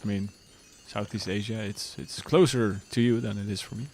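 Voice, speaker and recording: Man, thirties, lowish voice, relaxed rambling chat, faint background hiss. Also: moderate pace, gravelly. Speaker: streamer. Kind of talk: casual chat with viewers.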